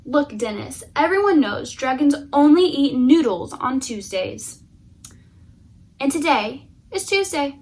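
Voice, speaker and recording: A woman's voice: high-pitched, big pitch swings, takes it slowly, full character voice. A woman voicing a brave, bossy, clever young damsel, emphatic and commanding, in a children's storybook. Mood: confident. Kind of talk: children's picture-book read-aloud.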